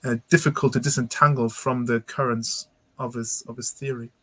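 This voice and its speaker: A man's voice: mid-range voice, steady tone, moderate pace, a bit bright. A man moderating an academic panel discussion, asking reflective, articulate questions and often hedging with "kind of". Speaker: conference moderator.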